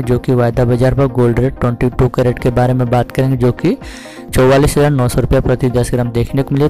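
A man's voice: mid-range voice, dark tone. A man hosting a market update, reading out prices as scripted narration in a formulaic, repetitive recital. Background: music.

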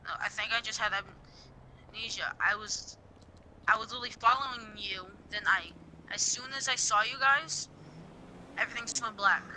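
A man's voice: sits high, moderate pace, pauses often, sharp tone, poor audio. A young man improvising game roleplay, repeating himself insistently. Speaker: gamer roleplaying online. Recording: faint background game noise.

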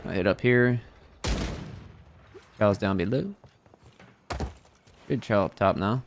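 A man's voice: fairly low pitch, slow pace, creaky, background noise. A young man streaming a shooter game from a room at his desk mic, talking to his chat in a brash, trash-talking way. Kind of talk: live gameplay commentary. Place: indoor room at a gaming setup. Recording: game music underneath.

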